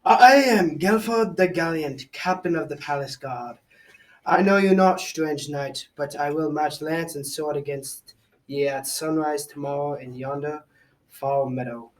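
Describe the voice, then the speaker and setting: A man's voice: a little high, measured pace, natural voice. A teenage boy playing a proud, gallant captain of a palace guard in a school radio drama. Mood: proud, defiant. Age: young teenager. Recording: far from the microphone.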